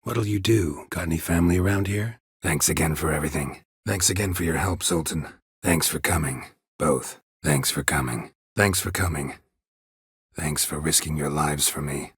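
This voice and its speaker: An American man voicing a stoic, world-weary, middle-aged monster hunter in a video game, with a gravelly, husky voice and a deadpan, clipped delivery. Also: extremely low pitch, normal speed, no room sound, studio quality, full character voice. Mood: brighter, engaged. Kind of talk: video game character dialogue lines.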